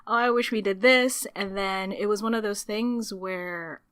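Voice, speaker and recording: A woman in her thirties from the Philippines, a podcast guest telling her story in a casual, animated way, laughing often and acting out her past thoughts. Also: mid-range voice, unhurried, clear, resonant tone, no echo, studio quality.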